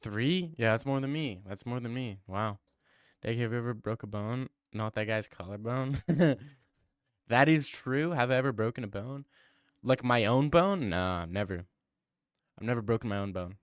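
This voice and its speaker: A man's voice: medium pitch, animated, moderate pace, clean tone, no echo, clean studio sound. Joking young man on stream. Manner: joking, teasing banter with mock bravado. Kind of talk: casual live-stream chat answering viewer comments.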